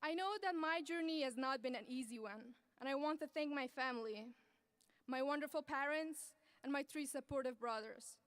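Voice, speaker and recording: A young woman from Afghanistan giving an earnest, measured graduation speech as the student speaker at a podium microphone. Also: high-pitched, a bit bright, no room sound. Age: young adult. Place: large ceremony venue.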